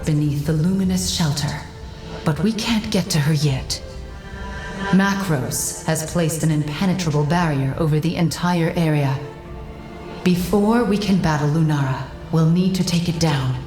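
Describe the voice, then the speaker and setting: A woman voicing a calm magical construct who guides a warrior in a video game, speaking in measured, formal instructions. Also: low-pitched. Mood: measured, resolute. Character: calm female magical construct, a guiding strategist. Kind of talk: scripted video game dialogue and mission briefing. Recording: roomy echo, loud game music behind.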